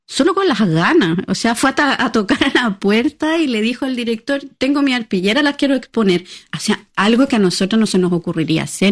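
Chilean woman, theatre guest on a radio chat, mid-pitched, halting and conversational. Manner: conversational, hesitant with fillers and restarts, laughs often. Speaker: playwright and theatre director, guest. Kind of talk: radio interview.

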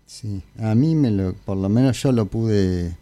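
Low, mellow Argentine man telling anecdotes. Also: no echo. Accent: Argentine Spanish. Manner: halting, informal storytelling. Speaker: interview guest, I Ching consultant. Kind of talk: casual anecdotal chat.

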